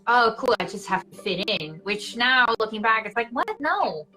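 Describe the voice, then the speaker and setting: A woman's voice: a little high, moderate pace, faint music behind, poor audio. A young woman from Switzerland with a Swiss accent, an actress chatting casually as a guest on an interview show, full of "like" and "you know". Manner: animated, fillers like "like" and "you know".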